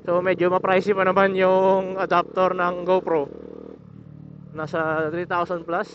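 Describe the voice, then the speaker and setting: A young man vlogging while riding a motorcycle, explaining his setup in a casual, halting way with many restarts. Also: high voice, flat delivery, background noise. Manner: hesitant, restarts words, explains step by step. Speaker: motovlogger. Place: on a motorcycle outdoors. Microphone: motorcycle helmet intercom over Bluetooth. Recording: muffled helmet intercom audio.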